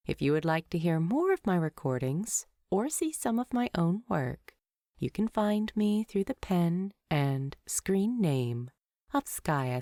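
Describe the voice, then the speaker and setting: A woman reading a story aloud as an audiobook narrator, in expressive scripted narration. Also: lowish voice, no echo, studio quality.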